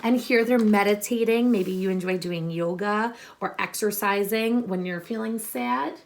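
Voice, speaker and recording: A woman teacher reading a picture book aloud to children, expressive and instructive, pausing to explain and ask questions. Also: mid-range voice. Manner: deliberate, instructive, addressing young listeners with questions.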